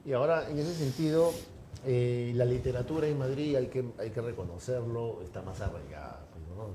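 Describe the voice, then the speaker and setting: A man's voice: mid-range voice, moderate pace, dark tone. A man interviewing a writer, asking long, rambling questions full of tag questions. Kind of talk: conversational interview. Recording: roomy, with clear background noise.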